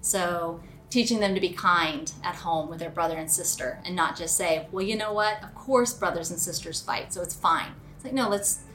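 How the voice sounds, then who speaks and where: A woman's voice: on the low side, normal speed, hardly any pauses, a bit bright, rough recording. A woman speaking as an educator, giving a spontaneous, explanatory interview answer as she thinks aloud. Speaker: educator giving advice to parents. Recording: clear room noise and ambience.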